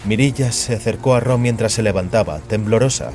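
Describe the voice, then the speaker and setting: A man narrating dramatic fiction in a solemn, measured way. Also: on the low side, darkish tone, soft background music.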